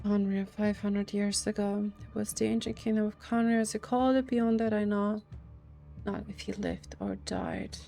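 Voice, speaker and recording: A woman's voice: medium pitch, lively intonation, moderate pace, warm tone. A woman streamer giving casual gameplay reactions, musing aloud and often trailing off mid-thought. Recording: faint game music behind.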